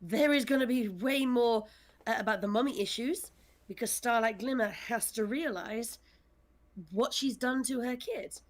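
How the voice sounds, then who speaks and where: A woman's voice: on the high side, moderate pace. A woman speaking casually in a panel discussion as a writer and director, with a wry, self-deprecating manner. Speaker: audio drama scriptwriter and director.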